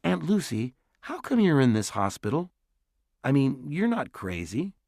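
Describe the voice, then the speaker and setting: A man's voice: medium pitch, very animated, unhurried, gravelly, darkish tone, dry recording, studio quality, pitched above the performer's own voice, exaggerated voice. A man voicing a blunt, impatient teenage girl in an audiobook, speaking sharply and directly.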